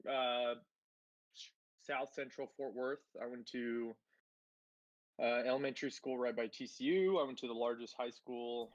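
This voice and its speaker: A man's voice: medium pitch, moderate pace, long pauses, dry recording. Man with dull, dry stream audio. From born in Spain, grew up in Texas. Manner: rambling, many fillers and restarts. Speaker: guest, tech entrepreneur. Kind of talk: casual livestream interview.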